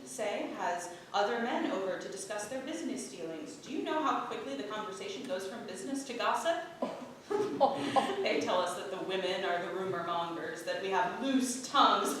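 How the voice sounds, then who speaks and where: A woman playing a frail, quiet, polite Puritan wife who is secretly shrewd, in a staged reading of a period play. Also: medium pitch, close to an everyday voice. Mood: wry, knowing. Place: theatre stage, picked up from far off. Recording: very roomy, distant, noisy theatre recording.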